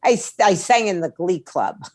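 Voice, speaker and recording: A woman's voice: fairly low pitch, expressive, normal speed, dry recording, clean studio sound. A woman chatting casually as an interview guest, blunt and candid, telling stories by quoting people. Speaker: interview guest, indie label owner.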